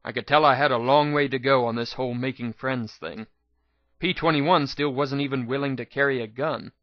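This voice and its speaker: A man's voice: medium pitch, steady tone, normal speed, no room sound. Man reading a story, slightly muffled. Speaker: amateur narrator of fan fiction audiobook. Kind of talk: dramatic scripted story narration.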